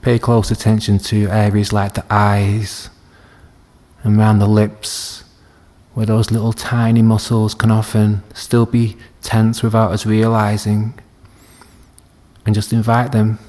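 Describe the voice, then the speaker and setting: A man leading a guided meditation as a teacher, speaking gently and soothingly with long unhurried pauses. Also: on the low side, completely flat delivery. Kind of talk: guided body scan meditation.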